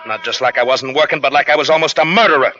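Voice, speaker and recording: A man's voice: medium pitch, fast, bright, forceful tone, no room sound, natural voice. A man playing a brooding, touchy jobless husband. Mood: defensive. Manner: bitter, sullen, flaring up. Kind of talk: 1940s radio drama acting. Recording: dull old radio broadcast with faint hiss.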